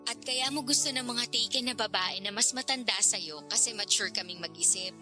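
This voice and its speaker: A woman's voice: fairly high pitch, a little fast, bright, forceful tone, faint music behind. An actress playing a curious, teasing, frank older girlfriend figure in a radio drama, talking in a playful, probing, casual way.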